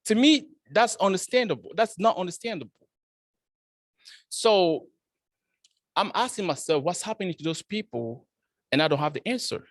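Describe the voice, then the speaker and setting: A man from Cameroon, speaking a little higher than most men, gives a prepared speech to a club audience. He often repeats and restarts his words and pushes his emphasis upward. The recording is dry, with no room sound. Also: expressive, moderate pace, long pauses, dry recording.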